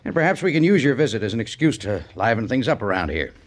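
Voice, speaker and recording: An actor playing a courteous but firm middle-aged army major at a frontier fort, in a formal 1950s radio drama style. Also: male voice, mid-range voice, big pitch swings, fast. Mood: awkward courtesy.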